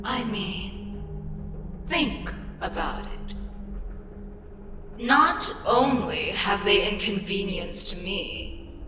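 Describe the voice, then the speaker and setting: A woman playing a weary, irritable ancient eldritch deity in a fantasy ASMR audio roleplay, sardonic and theatrical, with deliberate pauses. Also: mid-range voice, sing-song. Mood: frustrated, venting. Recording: echoing long reverb with loud music. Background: loud music.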